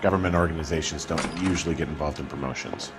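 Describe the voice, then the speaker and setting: An actor playing a guarded, world-weary businessman in a video game, speaking in a measured, matter-of-fact way. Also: rumbling low. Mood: guarded, dismissive. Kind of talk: video game cutscene acting. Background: background music.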